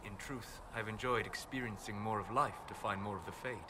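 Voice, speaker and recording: An actor voicing a calm, reserved elven apostate mage in a video game, speaking in a measured, formal, thoughtful way. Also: male voice, lowish voice, close to an everyday voice. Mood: wry, musing. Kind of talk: video game dialogue acting. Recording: clear ambient noise behind game dialogue.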